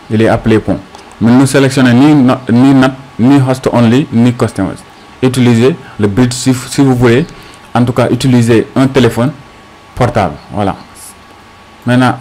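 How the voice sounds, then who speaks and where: A man with a mid-pitched voice walks through a screencast tutorial. He speaks off the cuff as an instructor, haltingly, posing small questions and answering them as he explains each step. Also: a little mellow, poor audio.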